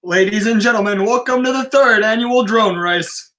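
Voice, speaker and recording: A brash male announcer acted by a man at a script read with a high, bright voice. He works the crowd with showy, teasing lines, like a master of ceremonies at a race. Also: moderate pace. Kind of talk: live table read of a film script.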